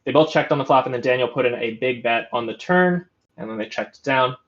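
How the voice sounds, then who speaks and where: Man, poker stream host, chatty commentary, musing, middle pitch, slightly muffled audio. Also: a little fast. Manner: chatty, musing, many restarts and fillers.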